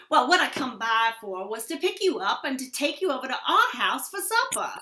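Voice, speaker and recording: A woman playing a proud, pushy small-town wife in a radio western, folksy and sharp-tongued. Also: high-pitched, sing-song, normal speed, echoey room, exaggerated voice. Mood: gushing. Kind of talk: old-time radio western acting.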